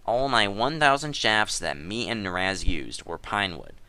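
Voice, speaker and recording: A man's voice: lowish voice, big pitch swings, measured pace, pushed and bright, clean tone, exaggerated voice. A man doing a dramatic reading as a defensive, aggrieved gamer, whiny and insistent about his innocence. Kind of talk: dramatic reading of a gaming chat log.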